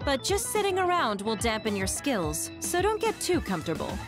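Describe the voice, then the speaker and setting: An actress playing a poised, mentoring squad leader in an anime game, polished and gently authoritative. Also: female voice, a little high, big pitch swings, normal speed, over music. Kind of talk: anime video game dub acting.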